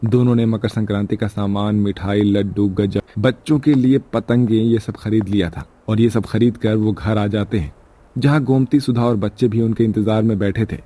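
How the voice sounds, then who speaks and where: A man's voice: on the low side, steady tone, moderate pace, dark tone. A man narrating a scripted moral story in Hindi storytelling style.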